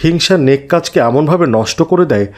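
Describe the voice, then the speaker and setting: Moralising cartoon uncle, male, played by a man: fair, devout, measured, slightly high-set voice. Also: expressive, natural voice. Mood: admonishing. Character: fair-minded, pious adult male neighbour, calmly moralising. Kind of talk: children's moral cartoon dialogue.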